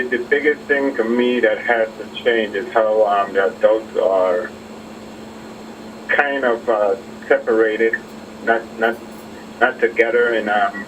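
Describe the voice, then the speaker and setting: A man calling in to a talk show with his opinion, speaking haltingly with frequent pauses. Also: on the low side, fairly bright tone, lo-fi sound. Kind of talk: unscripted call-in opinion. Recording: distant call-in voice, clear background noise.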